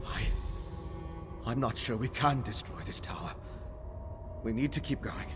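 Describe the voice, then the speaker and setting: A man acts out an earnest young scholar mage from a fantasy game. He thinks aloud, absorbed and urgent, at a middle pitch, with music clearly behind him. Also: unhurried, natural voice. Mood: thoughtful. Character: earnest scholarly young male mage investigating dark rituals. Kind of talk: video game voice acting.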